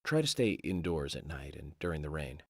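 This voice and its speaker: An actor playing a calm, courteous FBI agent in a video game, speaking in a measured, serious way with a husky voice. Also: male voice, very deep voice, no room sound, studio quality, exaggerated voice.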